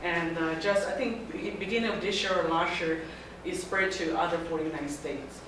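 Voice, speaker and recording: A middle-aged woman from Taiwan speaks to a group of students, her phrases halting and often starting over. She is picked up from a distance in a strongly echoing room. Steady background noise runs underneath. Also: on the low side. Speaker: guest speaker. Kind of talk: informal talk to a student group. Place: lecture room. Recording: very echoey room, mic far off, background noise.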